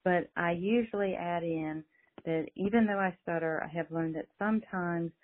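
A woman's voice: on the low side, normal speed. A middle-aged woman who stutters, a speech-language pathologist, telling her own story in a voicemail. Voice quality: stutters, with some repeated words. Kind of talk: recorded voicemail, personal story. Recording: tinny phone line voicemail.

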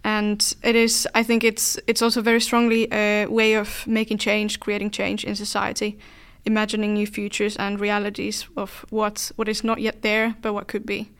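Female interview guest from Finland, flat intonation, very clean tone, thoughtful delivery. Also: medium pitch, moderate pace, hardly any pauses, clean tone. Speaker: dance artist, podcast guest. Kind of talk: reflective interview talk.

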